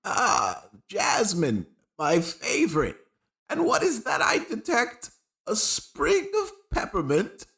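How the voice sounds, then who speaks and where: A man playing a kindly, doting old man with a creaky voice in a gothic horror audio play. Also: high voice, wildly swooping pitch, slow pace, sharp tone, exaggerated voice. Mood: pleased.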